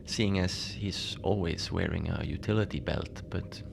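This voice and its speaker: A man playing a mild, lonely Antarctic station manager in a cozy horror audio drama, speaking in a hesitant, understated deadpan as he logs his shift. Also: low voice, unhurried, gravelly, full character voice. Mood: musing, puzzled. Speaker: narrator of a fiction podcast. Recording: noisy ambient bed behind the voice.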